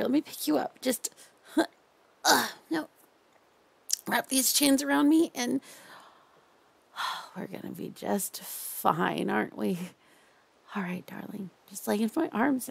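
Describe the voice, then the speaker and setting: A woman voicing a possessive, obsessive female captor in an audio roleplay, crooning softly and slipping into whispers. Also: mid-range voice, creaky, breathy edge, pitched above the performer's own voice, exaggerated voice. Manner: soft crooning, slipping into whispers and half-sung lines.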